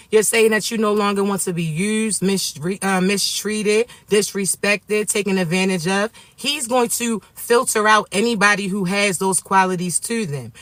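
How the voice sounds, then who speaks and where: A man with a raspy voice, sniffly from allergies, delivering a spiritual reading straight to his viewers and checking in with them often. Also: high-pitched, no echo. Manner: warm affectionate address to viewers, repetitive "okay?" check-ins. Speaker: spiritual reader and coach. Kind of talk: direct-to-viewer spiritual message.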